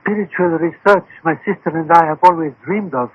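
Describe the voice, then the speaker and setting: Casting: wily mystic seer, lighter high male voice, portentous radio-play delivery, phone-like sound. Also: breathy edge. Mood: solemn, portentous. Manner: solemn, formal, measured phrasing. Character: solemn, wily Indian mystic crystal-gazer. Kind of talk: radio drama acting. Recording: tinny, phone-like old radio broadcast.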